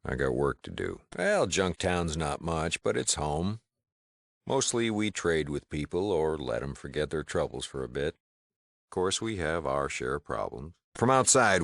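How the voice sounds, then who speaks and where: Gruff small-town frontier mayor and shopkeeper for a game: American, bottom-of-the-range bass, no-nonsense, folksy, close dry sound. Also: male voice, a bit bright, dry recording, exaggerated voice. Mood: matter-of-fact. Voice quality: deep, gravelly. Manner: folksy drawl, wry, no-nonsense. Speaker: video game character. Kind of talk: video game dialogue acting.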